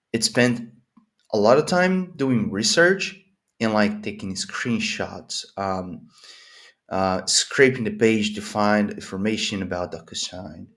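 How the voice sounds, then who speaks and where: Non-native english guy in his 30s, slow and lowish, thinking out loud over his code with lots of ums. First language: Portuguese or Spanish speaker; English not first language. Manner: rambling, thinking aloud with many fillers and restarts. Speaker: coding vlogger. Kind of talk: casual live screen-recorded coding commentary. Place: home office at a desk.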